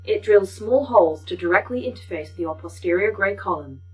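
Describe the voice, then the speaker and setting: An actress playing a polite, pedantic A.I. that speaks formally and precisely in a sci-fi audio drama. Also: female voice, medium pitch, expressive, a little fast, close to an everyday voice, poor audio. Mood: formal, matter-of-fact. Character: polite, pedantic female A.I. running a facility. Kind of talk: audio fiction acting.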